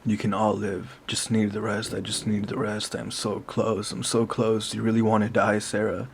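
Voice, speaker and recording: Cast: man doing a menacing killer, low gravelly coax. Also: completely flat delivery, normal speed, a little hiss or hum, close to an everyday voice. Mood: taunting. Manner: falsely soothing, taunting. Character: menacing male killer, coaxing and cruel.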